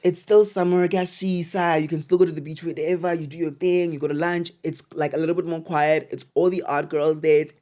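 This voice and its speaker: A woman's voice: low voice, steady tone, fairly quick, few pauses, a little mellow. A young South African woman co-hosting a podcast, chatting casually in an emphatic, slangy way. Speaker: podcast co-host. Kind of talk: casual chat.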